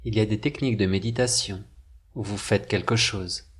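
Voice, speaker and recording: A man narrating a scripted guided meditation, with measured, deliberate phrasing and pauses. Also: on the low side, steady tone, fairly bright tone, husky.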